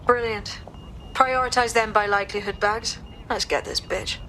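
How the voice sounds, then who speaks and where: Blunt, high-voiced resistance leader. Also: female voice, fairly even intonation, normal speed, bright, forceful tone, a little hiss or hum, poor audio. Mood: determined. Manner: blunt, decisive, sweary. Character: determined, blunt female resistance hacker leader. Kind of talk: video game acting.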